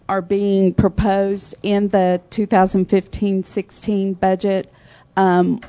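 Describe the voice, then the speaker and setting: A soft-spoken woman walks a public board through a budget presentation, her delivery flat and even. Her tone is mellow, and she fills pauses with ums as she reads through the figures. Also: mid-range voice, gravelly. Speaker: financial services manager presenting a budget.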